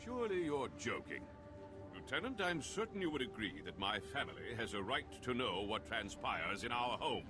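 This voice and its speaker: A man's voice: mid-range voice, big pitch swings, moderate pace, close to an everyday voice. An actor playing a haughty, suspicious nobleman in a video game, speaking in a formal, wordy, pompous way. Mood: indignant, cold. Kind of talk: video game cutscene acting. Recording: game soundtrack music behind.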